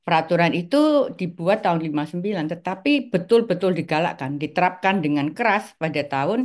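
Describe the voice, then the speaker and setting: A woman in her sixties from East Java, a guest recalling her family's past in an interview, pausing often with fillers. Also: on the low side. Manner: hesitant, many filler pauses. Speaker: guest, article author. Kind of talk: interview, personal recollection.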